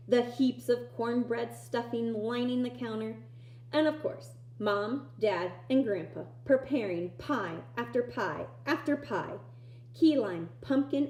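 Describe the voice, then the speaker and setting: A woman reading a children's picture book aloud in an expressive storytelling style. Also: fairly high pitch. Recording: echoey room.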